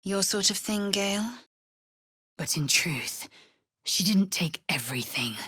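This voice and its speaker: A woman's voice: medium pitch, lively intonation, measured pace, sharp tone, breathy edge, no room sound, studio quality, heavily acted. A woman voicing a fierce, haughty alien warrior in a fantasy video game, with an imperious, clipped, solemn delivery. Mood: cool, probing.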